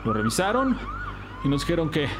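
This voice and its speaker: A man playing a bereaved father in an audio drama, emotional, defensive and quick to anger. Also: fairly high pitch, huge pitch swings. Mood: stricken.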